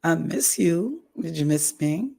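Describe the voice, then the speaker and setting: A woman with a deeply raspy, gravelly voice talks slowly and haltingly, pausing often to clear her throat. Her voice is husky and strained from hoarseness as she gives a candid personal update to her audience. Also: animated, dark tone. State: hoarse, recovering from a stroke. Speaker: vlogger. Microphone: audio only, home recording.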